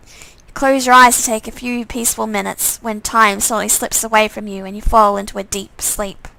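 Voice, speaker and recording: Bright high-voiced woman reading aloud. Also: level tone, normal speed, vocal fry, dry recording, poor audio. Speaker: streamer reading a visual novel aloud. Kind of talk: live read-aloud narration with asides.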